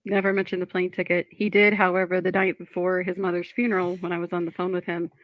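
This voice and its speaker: A woman on a live stream talking wearily and flatly, answering questions from her chat. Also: fairly low pitch, quick pace, dry recording, rough recording.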